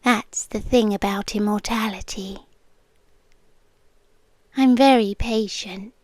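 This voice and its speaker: A woman playing an ancient, possessive female monster in an ASMR roleplay, with a British accent and a hushed, teasing delivery that often drops into whispers. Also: mid-range voice, fairly even intonation, slow, no echo. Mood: patient, possessive. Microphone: close microphone.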